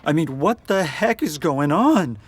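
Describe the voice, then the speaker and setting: An actor playing an earnest, defensive teenage schoolboy in a radio drama, halting and expressive. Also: male voice, medium pitch, natural voice. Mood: bewildered.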